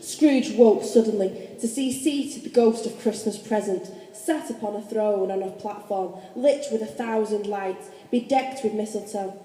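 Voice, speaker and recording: A woman's voice: mid-range voice, unhurried, hardly any pauses, mellow. A woman reading scripted narration for a radio play. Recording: echoey hall with faint noise.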